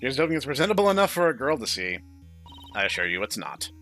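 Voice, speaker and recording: Man reading as bright-voiced, sardonic young programmer guy. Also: medium pitch, big pitch swings, fast, soft background music. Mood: introspective. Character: young male programmer intern, awkward, sardonic, introspective. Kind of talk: visual novel read-aloud.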